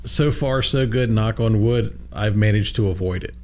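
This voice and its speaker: Guy hosting money talk radio in a studio, relaxed, lots of uhs, faint hum behind. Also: on the low side, normal speed. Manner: easygoing, frequent fillers and restarts. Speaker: radio show host, financial advisor. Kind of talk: casual radio chat.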